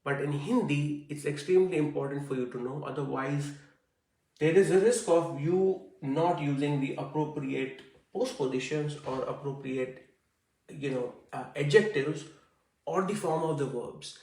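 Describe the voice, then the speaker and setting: Man, slightly high voice, online teacher, chatty and filler-heavy, roomy and off-mic. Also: unhurried, darkish tone, recorded from a distance. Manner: conversational, frequent fillers and "I see". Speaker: Hindi teacher.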